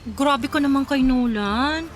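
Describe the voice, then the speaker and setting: A woman's voice: high-pitched, measured pace. Earnest young woman over faint music. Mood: defensive. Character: earnest, loyal young woman in love, gently defensive. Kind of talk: Filipino radio drama acting.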